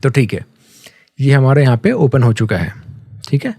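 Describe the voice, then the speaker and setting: An Indian-accented man walks a learner through software in a casual, conversational way. His voice is mellow and relaxed at an average pitch, recorded very dry. He explains step by step, slipping in English terms and checking in as he goes. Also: normal speed, creaky, slightly rough, no room sound. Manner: conversational, mixes English terms, often checks in with a tag phrase. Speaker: software tutorial instructor.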